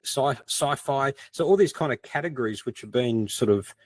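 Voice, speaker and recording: A man chats as a panel guest. He is informal and self-deprecating, with a mid-pitched voice in a very dry recording. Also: lively intonation, normal speed, no echo. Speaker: podcast co-host as panel guest. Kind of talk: casual panel discussion.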